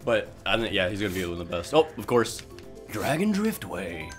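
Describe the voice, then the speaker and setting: A man casually chatting while playing a racing video game, talking fast and enthusiastically with many restarts. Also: on the low side, very animated. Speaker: gaming channel host. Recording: game music behind.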